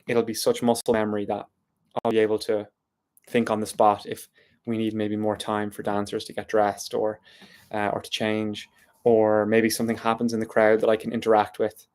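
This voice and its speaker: A man's voice: lowish voice, completely flat delivery, normal speed, darkish tone. An Irish man talking through an unscripted, reflective vlog monologue in a thoughtful, meandering way. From Ireland. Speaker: vlogger.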